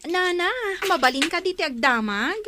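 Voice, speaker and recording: A woman's voice: sits high, expressive, normal speed, noisy background. An actress with an Ilocano accent playing an inquisitive, polite young village woman in a radio drama. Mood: curious.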